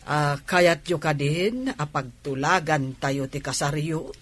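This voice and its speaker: An actress playing a prying older matchmaking aunt in a radio drama, with a husky voice and Ilocano accent. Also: female voice, low-pitched, slow pace. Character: older auntie, prying matchmaker wanting a grandchild. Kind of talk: Filipino radio drama acting.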